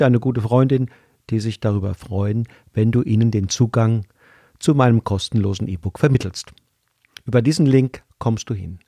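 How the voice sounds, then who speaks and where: A man's voice: on the low side, dark tone, no room sound, studio quality. A man hosting a wine podcast, reading a friendly outro with recommendations and links. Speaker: podcast host.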